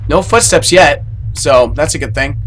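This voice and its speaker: A young man streaming a horror game, swearing and shouting in panic, talking into a headset in a small room. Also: a little high, normal speed, a little hiss or hum, poor audio. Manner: swears a lot, yells in panic. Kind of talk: live horror game commentary.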